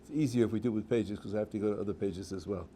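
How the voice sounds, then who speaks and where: New rabbi explaining scripture. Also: male voice, lowish voice, rapid-fire, mellow, a little hiss or hum. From New England. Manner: reads verses aloud, then explains them plainly. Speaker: rabbi teaching a student. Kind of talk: one-on-one text study.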